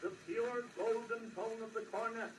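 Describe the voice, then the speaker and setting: A man's voice: extremely high pitch, flat delivery, normal speed, reverberant, distant mic. A man making a formal, declamatory sales announcement, heard from a scratchy acoustic-era 78rpm record. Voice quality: declamatory, projecting. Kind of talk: early 1900s sales announcement. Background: hiss and crackle.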